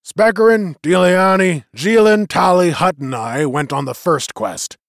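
A man's voice: high voice, unhurried, gravelly, dry recording, studio quality, voice pushed up. A man voicing a one-eyed male veteran who speaks in a blunt, stubborn, emphatic way.

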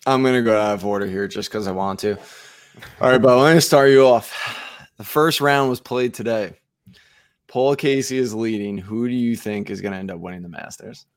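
A man's voice: lowish voice, a little fast. A man co-hosting a podcast who asks playful, upbeat questions in casual chat. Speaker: podcast co-host.

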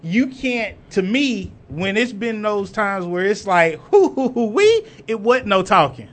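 Male co-host, high pitch, measured and reflective. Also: big pitch swings. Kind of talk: casual group chat.

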